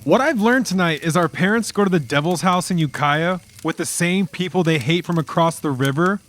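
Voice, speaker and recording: A man's voice: fairly high pitch, brisk, a bit bright, close to an everyday voice. A man playing a skeptical, practical schoolboy in a matter-of-fact way for an audiobook. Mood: questioning, practical. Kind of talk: audiobook acting.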